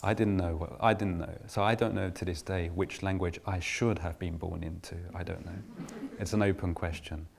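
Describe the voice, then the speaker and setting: A man's voice: low voice, fairly even intonation, moderate pace, creaky. A middle-aged man from England giving a reflective personal talk to an audience, often restarting his sentences. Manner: reflective, with frequent restarts and fillers. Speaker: invited speaker.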